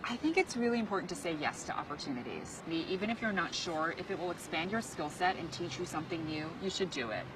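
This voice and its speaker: A woman's voice: fairly low pitch, expressive, fast talker, few pauses, background noise. A woman in her thirties, whose first language was Chinese, chatting upbeat in an interview on location. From United States. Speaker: interview guest. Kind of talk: casual interview chat. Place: car, office and rooftop, on location. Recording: music bed under the talk.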